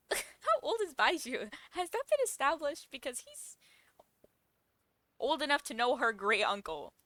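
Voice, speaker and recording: A woman's voice: sits high, sing-song, measured pace, long pauses, a bit bright, no echo. A woman giving casual, playful reaction commentary as a streamer, full of questions and sarcastic asides. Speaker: streamer reacting to a game story.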